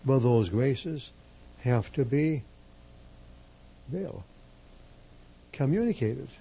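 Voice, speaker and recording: Slow, gravelly-voiced priest preaching. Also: male voice, medium pitch, animated, warm tone. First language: Slovak. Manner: emphatic, measured, repeats key phrases. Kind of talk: slow deliberate sermon.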